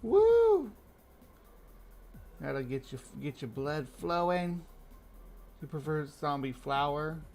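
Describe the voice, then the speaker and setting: A man co-hosting a livestream, with joking asides and quick, chatty interjections. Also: fairly high pitch, big pitch swings, a little mellow, faint music behind. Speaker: co-host. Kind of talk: livestream commentary.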